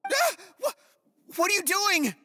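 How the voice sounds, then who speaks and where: Shrill, flustered young student. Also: male voice, very animated, measured pace, bright, forceful tone, no echo, exaggerated voice. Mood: panicked, defensive. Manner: stammering, defensive. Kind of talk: video game voice acting.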